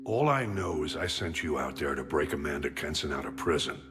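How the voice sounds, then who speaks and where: Game admiral: older, authoritative and loyal, very low register with lively intonation. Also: male voice. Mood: stern, grave. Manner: measured, grave, authoritative. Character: gruff older male military admiral, stern but loyal. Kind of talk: cinematic science fiction game dialogue. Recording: music under the dialogue.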